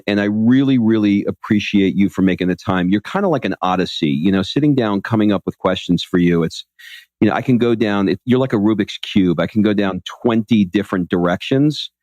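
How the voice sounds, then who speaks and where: A man's voice: fairly low pitch, darkish tone, dry recording. A man hosting an interview podcast, speaking in an upbeat presenter style. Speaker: podcast host. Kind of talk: upbeat scripted introduction and interview.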